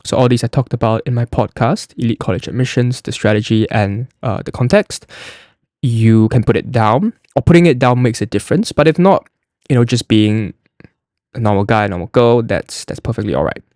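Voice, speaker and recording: A young man talks through an application form like a casual explainer. His voice sits at a middling pitch. He is chatty and loose, often restarting and leaning on "like", and the recording is dry and close. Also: lively intonation, brisk, darkish tone, no room sound. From Singapore. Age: young adult. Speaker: college admissions podcast host.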